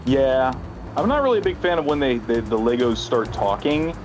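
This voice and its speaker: A man's voice: mid-range voice, big pitch swings, moderate pace, over music. A man chatting casually as a livestreamer, rambling and self-deprecating, with an everyday conversational delivery.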